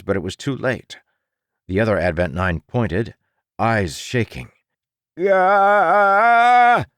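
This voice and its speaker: A man's voice: low voice, no echo, clean studio sound. A man reading an action story as an audiobook narrator in a dramatic, scripted style.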